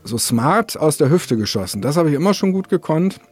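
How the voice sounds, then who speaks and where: A man's voice: a little high, sing-song, fairly quick, a little mellow, slightly rough. A man hosting a casual podcast, talking in a rambling, self-deprecating way that jumps from thought to thought. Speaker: podcast host.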